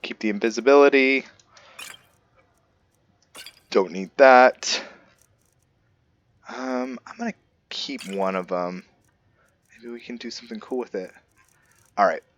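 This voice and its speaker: A man's voice: mid-range voice, slow pace, pauses often, dry recording, highs cut off. A man giving casual gameplay commentary, thinking aloud and musing to himself as he goes. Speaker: gameplay video host.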